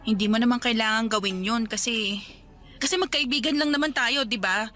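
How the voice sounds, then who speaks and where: A woman's voice: fairly high pitch, a little fast, fairly bright tone, lightly acted. An actress with a Filipino accent playing a guarded, anxious young woman in love in a Tagalog radio romance drama. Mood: guarded, wistful.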